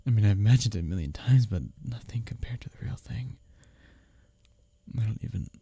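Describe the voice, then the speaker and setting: Husky, breathy low-key male lover played by an actor. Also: full character voice. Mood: breathless, flirtatious. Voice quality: breathy, husky, often dropping to a whisper. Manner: halting, hushed, teasing, sighing delivery. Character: smitten male workplace rival, teasing and flustered lover. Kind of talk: intimate romantic roleplay audio, ASMR.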